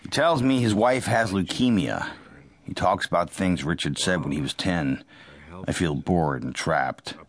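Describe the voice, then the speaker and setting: A man's voice: sits low, measured pace, dry recording. An actor playing a calculating, detached young American man, narrating dryly and confidingly in a radio drama. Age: young adult.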